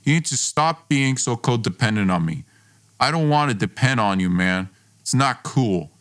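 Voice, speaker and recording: A man's voice: medium pitch, lively intonation, moderate pace, fairly bright tone, lightly acted. A man voicing a domineering, self-important young man who belittles his friend in acted dialogue for a storytelling podcast. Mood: scornful, harsh. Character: domineering, boastful, scornful young man, a former best friend.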